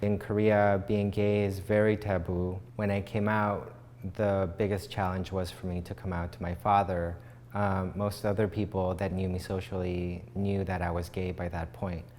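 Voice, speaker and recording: Man, very low pitch, from Chile, reflective, pausing speech, interview, reverberant room, faint hum. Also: flat delivery, a little mellow. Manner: reflective, measured, with many pauses and ums. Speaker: playwright. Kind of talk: documentary interview. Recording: long hall reverb, faint background hum.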